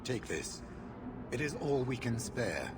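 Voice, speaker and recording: An actor playing a stern male warrior of a sworn order, speaking in a formal, grave and measured way. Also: medium pitch, sing-song. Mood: solemn. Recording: game audio over ambient noise.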